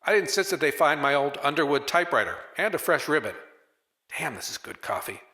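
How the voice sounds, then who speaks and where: A man's voice: a little high, sing-song, fairly quick, bright, forceful tone. A man playing a wisecracking, old-fashioned newspaper reporter in a radio drama, narrating with hard-boiled, slangy wit. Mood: wry. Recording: roomy echo, faint music behind.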